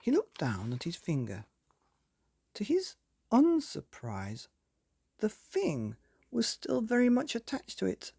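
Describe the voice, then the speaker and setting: A man hosting and reading a children's bedtime story, with theatrical, dramatic emphasis and drawn-out words. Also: high-pitched, huge pitch swings, creaky, dark tone, slightly rough, no echo, studio quality. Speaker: podcast host and story reader.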